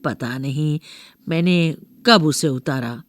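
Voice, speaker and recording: A woman's voice: sits low, no echo, clean studio sound, pitched below the performer's own voice. A woman voicing a rambling, doting old grandmother who keeps correcting herself in a storybook reading. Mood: reminiscing, muddled. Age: older. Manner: slow, rambling, self-correcting.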